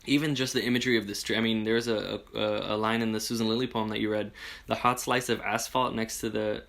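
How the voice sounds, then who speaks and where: A man hosting a casual literary chat, speaking informally and a bit haltingly. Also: on the low side, little pitch movement, vocal fry, fairly bright tone. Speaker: host, interviewer.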